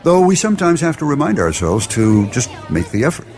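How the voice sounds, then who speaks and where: An actor playing a kindly, wise shop owner who talks in a gentle, reasoning way, in a family radio drama. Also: male voice, a little high, very animated, brisk.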